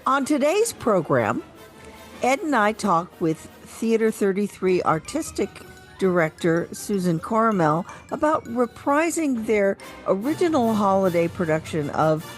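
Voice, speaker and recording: A woman co-hosting a radio show, speaking in a casual, chatty style. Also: mid-range voice. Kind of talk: casual radio chat with some program introductions.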